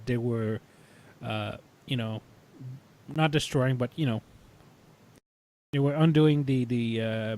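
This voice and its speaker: A man in a casual fan chat, speaking haltingly and often repeating words as he goes. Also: fairly high pitch. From Canada. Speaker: podcast panelist.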